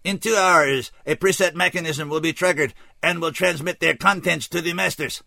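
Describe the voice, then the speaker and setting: A man's voice: fairly high pitch, creaky, bright, forceful tone, dry recording, voice pushed up. A man voicing a tall, anguished alien scout who speaks formally and dispassionately in an odd, unplaceable accent, with a pained tone. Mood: helpless, dispassionate. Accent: odd, unplaceable foreign accent. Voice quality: pained, strained. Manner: stiff, formal, dispassionate, slow and deliberate.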